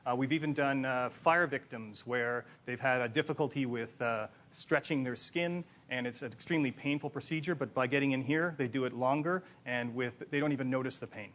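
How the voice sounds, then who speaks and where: A man's voice: mid-range voice, fairly quick, few pauses, fairly bright tone, muffled recording. A man explaining a product as a guest during a conversational demonstration. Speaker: company co-founder, guest demonstrating a system. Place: TV studio stage.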